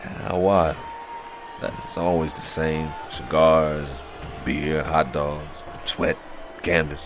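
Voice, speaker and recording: An actor playing a weary, washed-up American boxer, gentle but proud and disillusioned, in a radio drama. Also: male voice, a bass voice, level tone, slow, music in the background. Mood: wistful.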